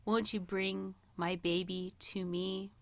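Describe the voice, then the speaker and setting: A woman's voice: medium pitch, little pitch movement, slow, no room sound. A woman co-hosting a podcast, chatting casually in an informal, playful, slangy way. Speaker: podcast co-host. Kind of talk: casual banter and song guessing game.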